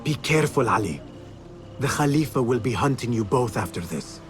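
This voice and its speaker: Guy doing a calm determined assassin, earnest, game cutscene with music blasting. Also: mid-range voice, moderate pace, close to an everyday voice. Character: young male assassin, calm and resolute. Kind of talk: video game cutscene acting. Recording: game audio with loud music.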